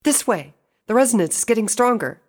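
Clever young unicorn girl put on by a narrator, earnest, pitched up, cartoon pony storybook. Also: big pitch swings, brisk. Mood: triumphant.

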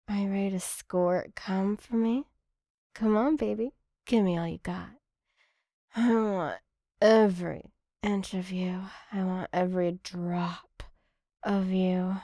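Mellow, slow, seductive woman, often whispering. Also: on the low side, no echo, studio quality. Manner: breathy seductive murmur, often dropping into whispers. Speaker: erotic audio performer. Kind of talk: erotic ASMR audio addressed to the listener.

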